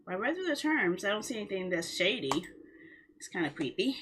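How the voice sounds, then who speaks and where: Bright, husky woman livestreaming. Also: mid-range voice, big pitch swings, brisk. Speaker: live streamer. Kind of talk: casual livestream chat.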